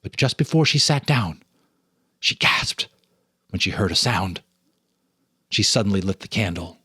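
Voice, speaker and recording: A man giving a dramatic reading of a ghost story, with suspenseful, deliberate phrasing and dramatic pauses. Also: sits low, lively intonation, sharp tone, slightly rough, no room sound, studio quality. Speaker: storyteller, narrator and host.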